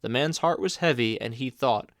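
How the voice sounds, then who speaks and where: A man narrating a classic fairy tale in a steady, clear storybook reading. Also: medium pitch, normal speed, no echo, clean studio sound. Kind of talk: fairy tale read-aloud.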